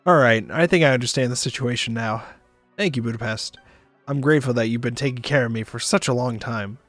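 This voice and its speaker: A man playing a groggy, devoted male space traveller in a visual novel, gentle, soft-hearted and reflective. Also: medium pitch, brisk, soft background music, natural voice. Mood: grateful, concerned.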